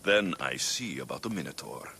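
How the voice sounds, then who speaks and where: An actor playing a steady, confident Ancient Greek mercenary hero in a video game cutscene. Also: male voice, rumbling low, normal speed, creaky, slight background noise, heavily acted. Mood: matter-of-fact.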